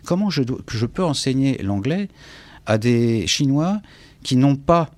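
A man's voice: mid-range voice, expressive, unhurried, darkish tone, no echo. A man from France with a French accent, speaking reflectively as an interview guest, often searching for words and repeating himself. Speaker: interview guest, English teacher and author.

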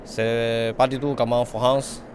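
A man's voice: medium pitch, level tone. Young Chinese-accented male artist, hesitant non-native speech, light outdoor traffic noise. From China. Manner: halting non-native French, frequent pauses and fillers. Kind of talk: interview answers.

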